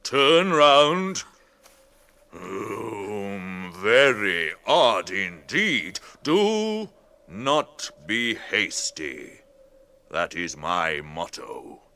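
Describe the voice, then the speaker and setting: An actor in a radio drama playing an ancient, ponderous Ent, a tree herder who speaks deliberately, humming and musing. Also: male voice, lowish voice, sing-song, pushed and bright, exaggerated voice. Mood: wary, curious.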